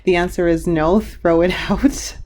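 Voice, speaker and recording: Chatty, slightly hoarse tarot reader woman. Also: on the low side, steady tone, measured pace, darkish tone. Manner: chatty, meandering, many fillers and restarts. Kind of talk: pick-a-card tarot reading.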